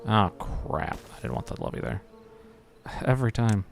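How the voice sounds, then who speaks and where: A man's voice: lowish voice, big pitch swings, moderate pace, creaky, soft background music. An American man streaming a building game, muttering his moves to himself, humming and joking along. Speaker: gaming streamer. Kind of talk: live game commentary.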